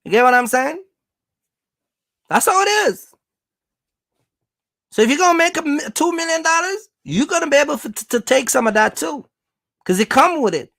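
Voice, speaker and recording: This is a host with a noticeably creaky voice, recorded dry with very little room sound. The delivery is casual, motivational advice straight to viewers. Points are stressed and repeated, with regular check-ins that the listener follows. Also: high voice, lively intonation, moderate pace, long pauses, a bit bright, no room sound. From Jamaica. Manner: emphatic, repetitive, checks in with listeners. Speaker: host, trucking business coach.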